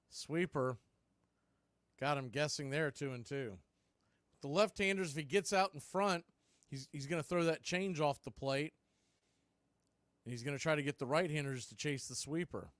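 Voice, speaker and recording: A radio announcer calls a baseball game pitch by pitch, brisk and conversational as he keeps up with the count. His voice sits a touch high for a man. The sound is dry and close, with no room around it. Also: a bit bright, dry recording. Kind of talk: live baseball play-by-play commentary.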